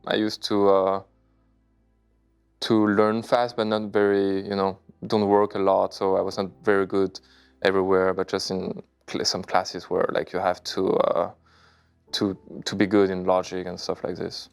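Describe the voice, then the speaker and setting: Deep creaky-voiced man, halting English. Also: monotone, faint music behind. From China. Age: 30s. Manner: halting, searching for English words. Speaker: interview guest, poker player. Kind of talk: casual interview answers.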